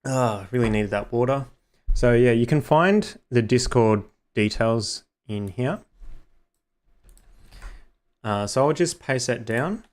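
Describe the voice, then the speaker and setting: A young man streaming a game, chatting loosely to viewers with many fillers, his voice slightly hoarse. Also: medium pitch, animated, slow, pauses often, a little mellow. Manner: rambling, many ums and uhs. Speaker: gaming streamer. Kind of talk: casual live-stream chat.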